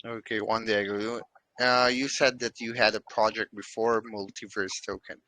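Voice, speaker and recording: A man hosting an online interview, asking deliberate questions. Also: lowish voice, flat delivery, bright, forceful tone. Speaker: host.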